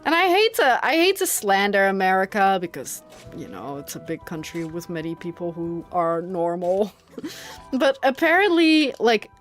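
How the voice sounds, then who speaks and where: A young woman from the Netherlands, a native Dutch speaker, chatting casually and rambling with many fillers while streaming a game, often breaking into giggles. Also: fairly low pitch. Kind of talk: casual chat while gaming.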